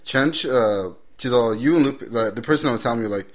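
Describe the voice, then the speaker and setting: A man's voice: medium pitch, fairly quick. A man co-hosting a podcast with casual crude banter, rambling through anecdotes full of swearing and slang. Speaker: podcast co-host.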